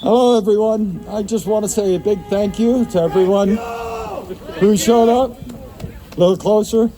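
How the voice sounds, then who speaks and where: A man's voice: high voice, moderate pace, music in the background. A man giving a brief, informal rally speech at an open mic.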